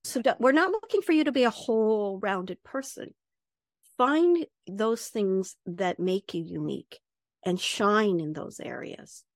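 Middle-aged hr lady chatting in an interview, animated, acts out quotes, dry close mic. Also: a little slow, no room sound. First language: English. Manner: animated storyteller, acts out quotes with big pitch jumps. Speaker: guest, HR professional and certified advisor. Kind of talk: conversational interview.

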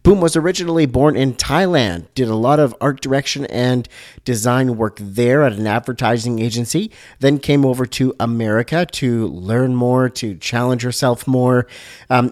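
A man's voice: medium pitch, a little mellow, no echo, studio quality. A man hosting a design podcast, upbeat and enthusiastic as he introduces and interviews a guest. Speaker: podcast host.

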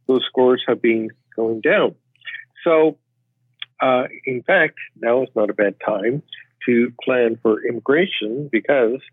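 A Canadian guest explaining immigration in an interview, speaking in a measured, hesitant way with many uh's. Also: male voice, medium pitch, breathy edge, dry recording. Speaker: podcast guest, immigration expert. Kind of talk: interview answers. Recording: tinny phone line.

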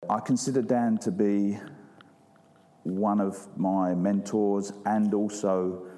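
Man introducing a speaker, mellow tone. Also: lowish voice, steady tone, takes it slowly. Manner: hesitant, with many ums and uhs. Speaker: event host. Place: large room with live audience. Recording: long hall reverb.

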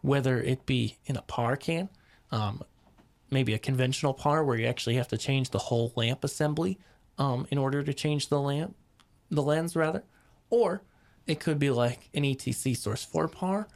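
A man hosting a podcast about stage lighting, casually explaining gear with many ums and restarts while hoarse from a cold. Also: mid-range voice, normal speed, darkish tone. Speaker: podcast host.

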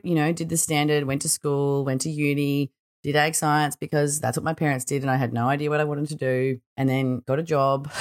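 A middle-aged Australian woman with a slightly croaky voice, hosting a solo podcast in an informal, chatty and reflective way. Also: low-pitched. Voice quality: slightly croaky early-morning voice. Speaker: podcast host.

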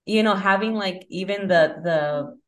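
A woman's voice: mid-range voice, a little slow, fairly bright tone. A woman hosting a podcast interview, friendly and enthusiastic, talking casually with fillers. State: mother with her son nearby. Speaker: podcast host.

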